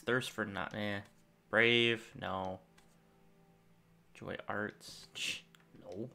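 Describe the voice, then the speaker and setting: A young man hosting a gaming channel, thinking aloud in rambling casual commentary while he plays, recorded at a desk in a small room at home. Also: medium pitch. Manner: rambling, thinking aloud, lively swings in loudness.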